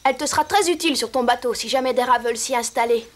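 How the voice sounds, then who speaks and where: A woman's voice: fairly high pitch, racing, lightly acted. A woman voicing a young boy who speaks affectionately and earnestly to his father in a cartoon. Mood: tender. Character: young boy Diego, loving son. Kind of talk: French cartoon voice acting.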